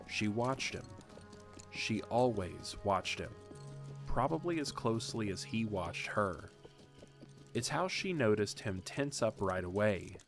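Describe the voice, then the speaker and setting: A man with a fairly low voice narrates a story in a steady, measured way. Soft music runs faintly beneath his narration.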